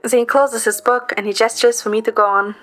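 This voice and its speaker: A woman's voice: fairly high pitch, fairly even intonation, a little fast, lightly acted. A woman narrating an audio drama in the first person as a composed, observant young woman.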